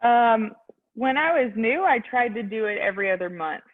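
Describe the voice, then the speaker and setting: A woman's voice: a little high, lively intonation, moderate pace. A young adult woman with a Texan accent, a real estate agent answering interview questions in a youthful voice, earnest and full of ums. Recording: tinny phone-like line.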